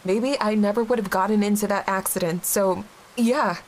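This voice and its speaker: A woman acting a grieving, guilt-ridden young widowed mother in an audio drama, halting and emotionally raw. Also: mid-range voice, lightly acted, rough recording. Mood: bitter, angry. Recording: clear background hiss.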